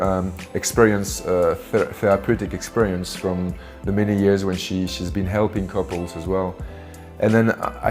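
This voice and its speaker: A man giving an unscripted personal testimonial in a halting way, searching for his words. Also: fairly low pitch, a little mellow. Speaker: workshop participant giving a testimonial.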